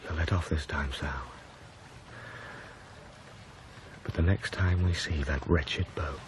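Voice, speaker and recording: An actor playing a domineering, jealous husband in a radio drama, intense and volatile, swinging between tenderness and temper. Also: male voice, rumbling low, fairly even intonation, normal speed. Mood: shocked, bitter. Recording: radio drama with loud background noise.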